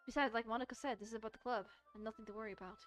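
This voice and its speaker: High-voiced woman streamer reading a visual novel. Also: fairly even intonation. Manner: halting, often repeats and rereads phrases. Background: soft music.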